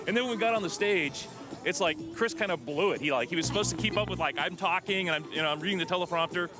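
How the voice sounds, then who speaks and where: A man's voice: high voice, fast, music in the background. A man being interviewed at a live event, telling a self-deprecating story with animation. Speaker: guest, creative director. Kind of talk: interview anecdote.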